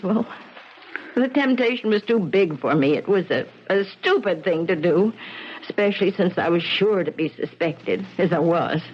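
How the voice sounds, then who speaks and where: A woman's voice: medium pitch, lightly acted. Actress playing a candid, earnest loyal woman, muffled recording. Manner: earnest, dramatic. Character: candid, emotional working woman, loyal grateful friend. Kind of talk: 1970s radio mystery acting. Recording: muffled, noisy 1970s radio recording.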